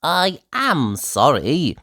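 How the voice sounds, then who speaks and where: A narrator voicing a sly male storybook tradesman who apologizes with drawn-out, exaggerated innocence. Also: mid-range voice, wildly swooping pitch, fairly bright tone, no echo, clean studio sound, pitched above the performer's own voice, full character voice. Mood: mock apologetic.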